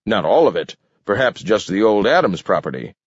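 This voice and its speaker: A man's voice: lowish voice, very animated, normal speed, slightly rough, dry recording, muffled recording. Man acting a blustering, sputtering pompous mayor. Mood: flustered. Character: blustering, flustered city mayor, pompous man losing dignity.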